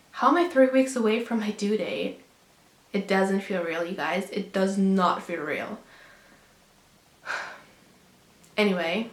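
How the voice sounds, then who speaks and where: Raspy-voiced young woman in an echoey room. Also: medium pitch. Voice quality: raspy from a lingering cold. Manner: chatty, with frequent ums and restarts. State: hoarse, getting over a cold. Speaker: vlogger sharing a pregnancy update. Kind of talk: casual chat to camera.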